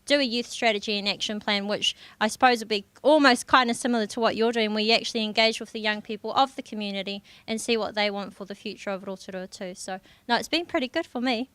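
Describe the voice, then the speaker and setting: A woman's voice: medium pitch, quick pace, hardly any pauses, a bit bright, clean tone, dry recording. A young woman, speaking earnestly as a councillor on a conference panel. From New Zealand. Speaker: district councillor with youth portfolio. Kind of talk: panel discussion.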